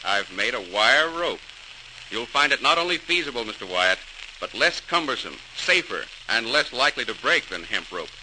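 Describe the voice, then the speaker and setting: A determined engineer in an old radio play. He is a man of earnest, emphatic speech and a mid-pitched voice. The recording is old and plainly noisy. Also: very animated, normal speed, sharp tone. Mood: firm, insistent. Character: John Roebling, earnest, determined male engineer inventor rallying his neighbors. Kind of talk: 1940s radio drama acting.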